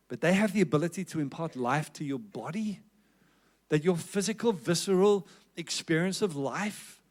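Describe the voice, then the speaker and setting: A South African man preaching a sermon with a South African accent, in an earnest, emphatic exhorting manner. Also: fairly high pitch. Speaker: pastor.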